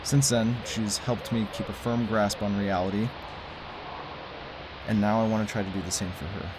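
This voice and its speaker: A man's voice: on the low side, steady tone, normal speed, close to an everyday voice. A man playing a tired, determined former conspiracy theorist, earnest in delivery, with a noisy background. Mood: subdued. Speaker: lead character.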